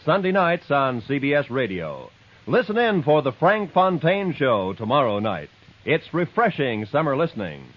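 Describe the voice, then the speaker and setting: A man's voice: medium pitch, big pitch swings, normal speed. A man working as a radio announcer, in polished 1950s network style. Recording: muffled old radio broadcast.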